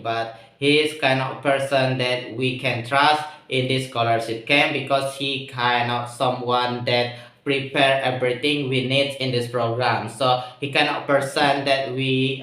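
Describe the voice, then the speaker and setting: A man's voice: mid-range voice, fairly bright tone. Hesitant Indonesian young man, echoey room. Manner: halting and hesitant, many pauses and restarts. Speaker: student vlogger practicing English. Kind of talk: casual spoken diary.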